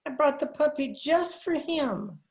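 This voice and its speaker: Meek, fussy older gentleman male actor, high thin register, easily flustered, for old-time radio comedy. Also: lively intonation, a little slow, gravelly, exaggerated voice. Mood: earnest. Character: timid, prissy, mild-mannered male radio director. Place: living room by staircase, video call. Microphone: video call.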